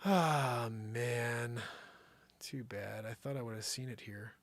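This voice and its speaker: Animated man rambling in a dry room. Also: mid-range voice, slow, dry recording. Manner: animated, slangy, thinking aloud. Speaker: live variety show host. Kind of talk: casual, rambling comedic commentary to viewers.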